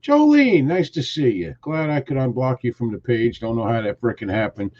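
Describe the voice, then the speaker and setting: A man's voice: mid-range voice, big pitch swings, normal speed, darkish tone, highs cut off. A man hosting a casual live stream, greeting viewers and teasing his co-hosts in a joking, rambling way. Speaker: host.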